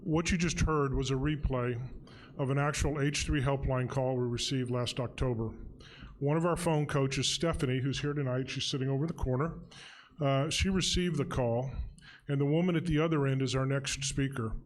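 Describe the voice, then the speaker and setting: A man's voice: medium pitch, moderate pace. Guy emceeing a gala, explains things plainly, bit of faint noise behind. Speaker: event emcee. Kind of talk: introducing the next speaker.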